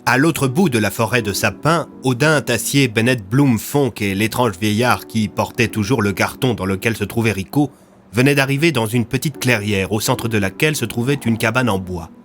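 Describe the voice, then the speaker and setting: A man's voice: medium pitch, normal speed, fairly bright tone, a little hiss or hum. A man narrating a scripted audio fiction serial as a dramatic storyteller.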